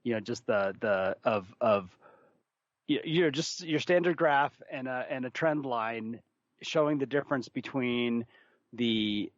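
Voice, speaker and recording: A man talking casually as a podcast host, thinking aloud in a halting, conversational way. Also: medium pitch, no echo. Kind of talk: casual chat. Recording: slightly dull, dry recording.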